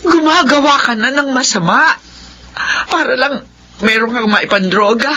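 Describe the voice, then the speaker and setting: A woman's voice: high voice, a little slow, pushed and bright, slight background noise. An actress playing a worried, long-suffering elderly mother in a Filipino radio drama, pleading and plaintive.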